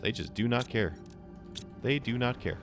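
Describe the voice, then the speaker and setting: A young man with a hoarse voice, chatting casually as he plays a game for his channel, rambling with frequent restarts. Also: mid-range voice, normal speed, music in the background. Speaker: gaming channel host. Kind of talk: casual gameplay commentary.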